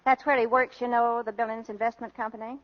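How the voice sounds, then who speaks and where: A woman's voice: on the high side, flat delivery, fast talker, natural voice. An actress playing a middle-aged mother, chatty, exclamatory and scornful, in an old radio comedy. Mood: scornful gossip. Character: middle-aged mother, gossipy, scornful of daughter's boastful suitor. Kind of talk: 1930s-40s radio comedy acting. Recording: dull old radio broadcast recording.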